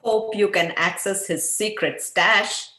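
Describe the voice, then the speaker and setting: A woman's voice: mid-range voice, slow pace, sharp tone. Woman playing a firm doctor wife, echoey room. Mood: calm, reassuring. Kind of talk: amateur table read. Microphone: video call. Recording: roomy, echoing video-call audio.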